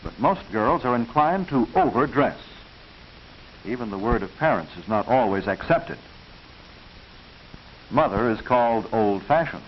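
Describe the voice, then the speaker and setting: An American man reading scripted narration for a 1940s educational film, instructive and proper, with measured enunciation. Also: mid-range voice, animated. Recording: hissy, muffled 1940s film soundtrack.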